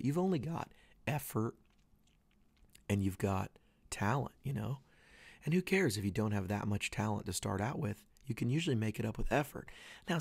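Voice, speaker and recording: A man's voice: on the low side, gravelly, darkish tone, dry recording. A man in his thirties hosting a casual solo podcast, talking in a rambling, earnest way with many restarts while he is losing his voice. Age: 30s. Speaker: podcast host. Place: away from home, dry small space.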